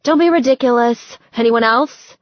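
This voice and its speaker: A fussing bride in an audiobook, played by a woman narrator, talks in a raised, chirpy pitch. She is brisk, bossy and insistent, on a slightly muffled recording. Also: no echo, close to an everyday voice. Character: bossy, brisk, fussing bride organizing her bridal party.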